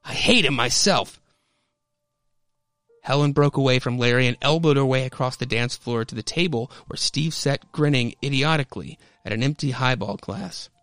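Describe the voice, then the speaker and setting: A man's voice: medium pitch, fairly quick, few pauses, clean tone, no echo. A man narrating a scripted story dramatically, as the host of a story podcast. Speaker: podcast host reading stories.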